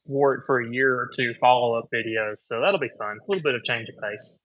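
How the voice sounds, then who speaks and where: A man with a thick Tennessee accent, an optician chatting casually about eyewear, laid-back and quick to laugh. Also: mid-range voice, a bit bright, poor audio. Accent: Southern US, Tennessee. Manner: laid-back, laughs often, frank opinions. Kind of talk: casual chat.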